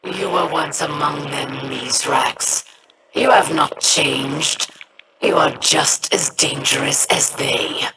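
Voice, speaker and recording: A woman voicing a bitter, menacing alien foe in a video game, hissing slow accusations. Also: low-pitched. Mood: accusing. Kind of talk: video game character acting.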